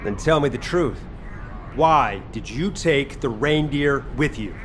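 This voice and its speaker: A man voicing a gruff, exasperated soldier in a video game, with a wry, sardonic manner. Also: mid-range voice, measured pace, background noise, close to an everyday voice. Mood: sardonic interrogation. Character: gruff, exasperated male soldier who cooks, wry and impatient.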